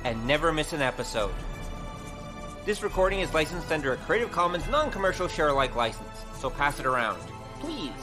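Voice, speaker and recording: A man's voice: on the high side, sing-song, a little fast, over music. A man reading scripted credits as the announcer of an audio drama show. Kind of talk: scripted announcement over music.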